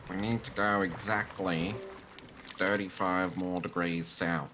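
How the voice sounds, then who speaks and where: Deep voiced guy chatting casually on a game stream, clear water and boat noise behind him. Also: steady tone, measured pace, pushed and bright. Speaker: streamer playing a multiplayer sailing game. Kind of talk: casual gaming chat. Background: game ambience of boat and water.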